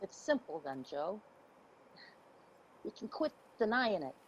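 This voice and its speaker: A woman's voice: medium pitch, very animated, a little slow, highs cut off, slight background noise. An actress playing a brash, confrontational street woman in a stage play reading. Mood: challenging. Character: brash, confrontational, foul-mouthed street woman named Jillian.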